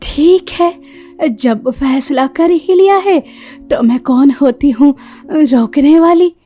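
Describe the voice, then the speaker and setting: Woman playing a high, mellow-voiced village mother. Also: slow, soft background music. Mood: resigned. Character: gentle, long-suffering ailing village mother of grown sons. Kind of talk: Hindi cartoon story voice acting.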